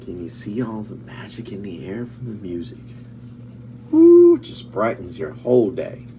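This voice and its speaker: A man reading a children's picture book aloud in an expressive, dramatic way, with asides to young listeners. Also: lowish voice, moderate pace. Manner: expressive, dramatic, exclaiming and dropping to hushed tones. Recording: distant mic with clear background noise.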